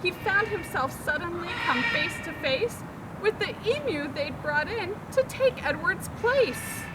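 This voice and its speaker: Female storytime reader, very lively intonation, engaging young listeners, noisy ambient recording. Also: very high voice, unhurried. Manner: expressive, asks listeners questions about the pictures. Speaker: storytime reader, zoo educator. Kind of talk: children's picture book read-aloud.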